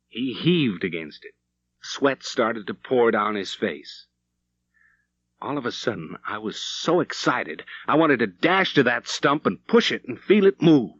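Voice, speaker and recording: A man's voice: medium pitch, a bit bright, no echo. A man narrating a Western novel as an audiobook, telling the story in a dramatic, vivid way. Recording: slightly dull, dry studio recording.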